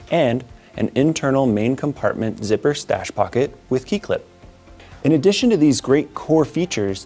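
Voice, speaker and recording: A man in his thirties, upbeat and steady, walking through product features in a scripted presentation. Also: mid-range voice. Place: indoor studio with wooden wall.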